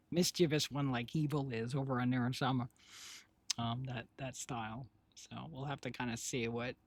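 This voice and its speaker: A man with a raspy, husky voice, hoarse today, chatting casually on a live stream. He rambles along with lots of fillers and restarts, at a mid pitch. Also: dry recording. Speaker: streamer and developer.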